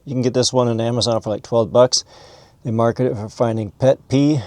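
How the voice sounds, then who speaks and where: A man's voice: mid-range voice, fairly even intonation, brisk, a little mellow, dry recording. A man hosting an informal educational video, explaining and showing things in a folksy, conversational way. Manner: folksy, calls objects "this guy". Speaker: host, mineral hobbyist educator.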